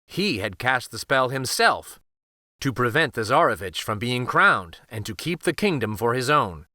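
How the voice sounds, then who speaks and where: A man reading a children's fairytale aloud in a deliberate, dramatic narrating style. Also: medium pitch, very animated, sharp tone, dry recording, studio quality. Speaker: children's story reader. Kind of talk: expressive storybook read-aloud narration.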